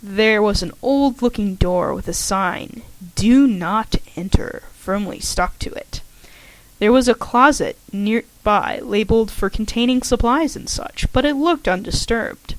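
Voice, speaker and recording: A woman's voice: fairly high pitch, measured pace, dry recording, low-quality recording. A woman reading fan fiction aloud, a little shaky, on a home microphone. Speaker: fanfiction reader. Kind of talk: amateur audiobook narration.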